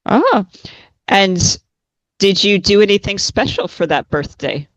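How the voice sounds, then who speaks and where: A woman's voice: mid-range voice, expressive, takes it slowly, no room sound, low-quality recording. A woman acting as examiner in a mock speaking exam, prompting the candidate with short follow-up questions.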